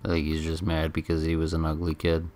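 A man giving casual, laid-back, somewhat halting commentary as he plays a video game. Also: extremely low pitch, monotone, darkish tone. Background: game music.

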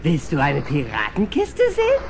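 Ein Schauspieler spielt einen kindlich-naiven, gutmütigen Wildkater in einem Kinderhörspiel, verspielt und etwas begriffsstutzig. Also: male voice, fairly high pitch, wildly swooping pitch, moderate pace. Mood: playful. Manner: playful, dim-witted, faltering when reading. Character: goofy, kindly male cartoon wildcat mechanic, childlike. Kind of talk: children's audio drama acting. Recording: noisy old cassette audio drama.

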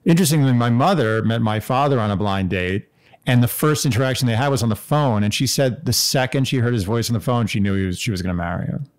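A man's voice: mid-range voice, fast talker. A man in his forties co-hosting a podcast from his kitchen, talking earnestly with lots of restarts. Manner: earnest, rambling with many likes and restarts. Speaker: podcast co-host. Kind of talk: casual chat. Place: home kitchen. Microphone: studio mic on boom arm.

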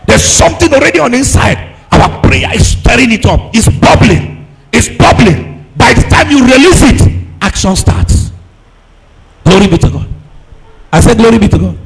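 Male preacher, high voice with big swings in pitch; emphatic call-and-response sermon delivery, repetitive and exhorting. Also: very animated, normal speed, creaky, rough recording. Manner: emphatic, repeats phrases, fires rhetorical questions at the congregation; exhorting, breaking into shouts.

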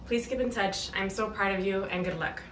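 A woman's voice: mid-range voice, steady tone, brisk. A woman staff member giving a sincere video message recorded indoors. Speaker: school staff. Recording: echoey, distant from the mic, faint noise.

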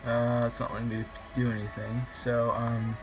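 A slightly raspy young man, a bit sick, commentating his online game battle in a rambling, hesitant way with many ums. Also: mid-range voice, completely flat delivery, moderate pace. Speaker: gaming YouTuber. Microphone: phone filming a screen. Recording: music playing behind.

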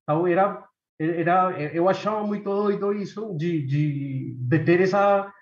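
Colombian guy presenting research, kinda high voice, lots of uhs and repeats, echoey room. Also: measured pace. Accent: Colombian Spanish. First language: Spanish. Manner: hesitant, many fillers and repeated words. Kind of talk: academic presentation. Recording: echoey room, dull lo-fi sound.

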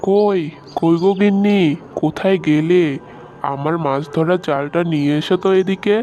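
Man voicing a high-pitched caring village fisherman dad. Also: normal speed, dark tone, muffled recording, a little hiss or hum, natural voice. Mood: affectionate, busy. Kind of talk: animated fairy-tale cartoon dub.

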